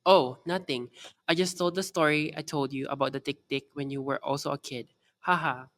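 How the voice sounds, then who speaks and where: A woman's voice: sits low, fairly even intonation, moderate pace, pitched below the performer's own voice, natural voice. A woman voicing a teasing old Filipino grandmother who tells stories soothingly, in a read-aloud children's story. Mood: amused, casual.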